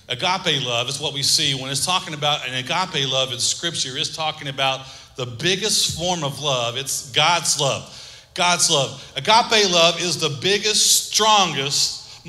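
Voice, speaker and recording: Man, pastor, preaching sermon, call-and-response, very bright voice, reverberant hall. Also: on the high side.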